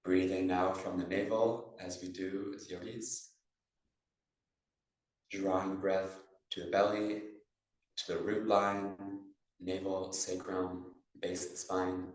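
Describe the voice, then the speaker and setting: Low, even-voiced man in a very echoey room. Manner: measured phrasing with pauses, instructing breath and posture. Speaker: yoga and meditation teacher. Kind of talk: guided meditation in a live class. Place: yoga studio class. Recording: echoey room, distant microphone.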